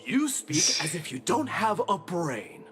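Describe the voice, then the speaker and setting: Proud, scornful warrior. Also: male voice, high voice, sing-song, unhurried, a bit bright, heavily acted. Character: proud male warrior prince, gruff, scornful, blunt. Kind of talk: English game dub acting. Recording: game music behind.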